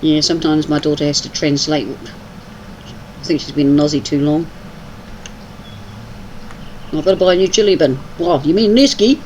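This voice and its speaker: A woman's voice: low voice, moderate pace, long pauses, a little mellow, slight background noise, low-quality recording. An older woman from New Zealand with a mixed Kiwi and English accent, chatting casually while she plays a game on a livestream. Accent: New Zealand with some English. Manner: chatty, teasing. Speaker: livestream host. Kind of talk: casual chat while gaming.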